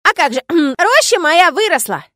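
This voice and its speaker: Woman putting on a throat-clearing old spirit guy, gruff but kind, dry close sound. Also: very high-pitched, sing-song, unhurried, pushed and bright, no echo, voice pushed up, exaggerated voice. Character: gruff old male grove spirit, grumbling but kindly.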